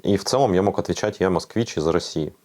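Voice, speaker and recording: A young Siberian-born man speaks in a low, deep voice. He sounds worn out and quiet, talking openly in a documentary interview and often letting his sentences trail off. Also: quick pace. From Siberia, Russia. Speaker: volunteer interviewee.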